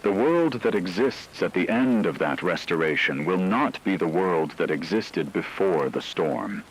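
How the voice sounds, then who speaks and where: A man playing a grave radio announcer, reading a formal scripted disaster briefing in a measured, solemn, technical manner. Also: low voice, lively intonation, slight background noise, rough recording. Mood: measured, sober. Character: grave male radio announcer delivering disaster status reports. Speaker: broadcast announcer.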